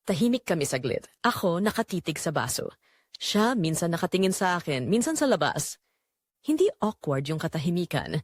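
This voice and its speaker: A woman's voice: fairly low pitch, sing-song, moderate pace, dry recording. A woman narrating a scripted first-person romance story for an audio drama.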